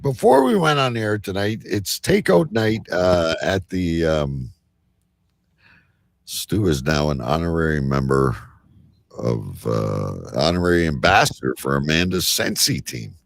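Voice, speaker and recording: A man hosting a live show in rambling, casual banter, halting at times and then suddenly emphatic. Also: sits low, very animated, vocal fry, no echo, clean studio sound. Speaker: host of a live online show.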